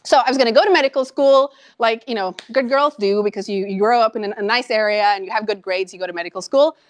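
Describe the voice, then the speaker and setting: A woman in her thirties from Norway with a Norwegian accent, giving a conversational talk to an audience about her startup, animated and full of ums and asides. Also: on the high side, quick pace. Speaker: startup founder giving a lecture. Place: lecture room with an audience.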